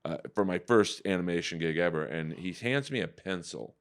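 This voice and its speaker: A man with a deep baritone voice, chatting casually on a podcast and telling animated, profane stories. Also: moderate pace. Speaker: comedian and voice actor guest.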